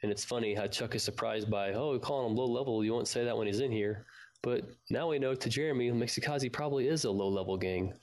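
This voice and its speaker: A man's voice: mid-range voice, fast talker, a little mellow. A man co-hosting a fan podcast, talking casually about a show. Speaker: podcast co-host.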